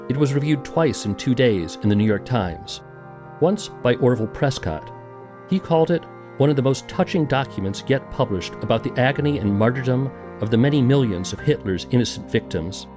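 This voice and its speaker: A man hosting a podcast, reading scripted documentary narration. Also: medium pitch. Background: music.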